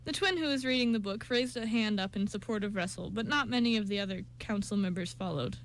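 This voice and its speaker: A woman's voice: medium pitch, fast, a little hiss or hum, low-quality recording. A woman reading scripted narration for an amateur radio play, occasionally stumbling over words.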